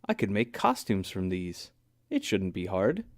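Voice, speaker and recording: A young girl bear from a storybook, read by a man, full of plans and sure of herself. The lines are earnest and enthusiastic, with animated pitch movement. They come at an unhurried pace in a dry recording. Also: no echo, heavily acted. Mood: decisive. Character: bright, decisive young girl bear cub, enthusiastic planner. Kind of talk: children's storybook character voice.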